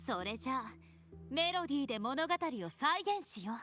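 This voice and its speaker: Theatrical high-voiced bard. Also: female voice, animated, racing, bright, forceful tone, soft background music. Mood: playful storytelling. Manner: theatrical, lyrical. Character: theatrical female bard recounting heroic legends. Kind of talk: dramatic storytelling in a video game.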